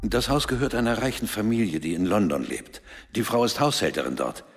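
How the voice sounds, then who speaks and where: Husky pastor in reverberant hall. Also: male voice, on the low side, animated, a little fast. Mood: confiding. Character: local Pakistani male pastor giving information. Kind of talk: radio play dialogue.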